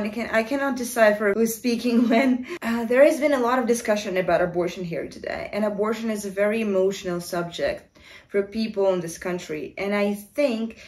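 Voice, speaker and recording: A woman in her twenties giving a casual, exasperated and sarcastic film review to camera in a room. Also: medium pitch. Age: 20s. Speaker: video commentator. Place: small room indoors.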